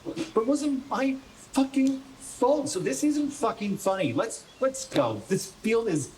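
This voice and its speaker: An American man acting in a radio play as a troubled, injured young soccer player, halting and confessional, with a bitter, sarcastic, profane edge. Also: very high voice, lively intonation, a little mellow, slight background noise. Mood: angry, defensive.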